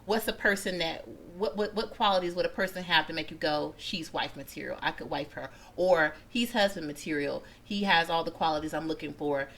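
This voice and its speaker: A woman's voice: sits low, a little fast, few pauses, fairly bright tone, a little hiss or hum. A woman hosting a casual group discussion in a chatty, informal way, often rephrasing her questions. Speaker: host of a panel talk show.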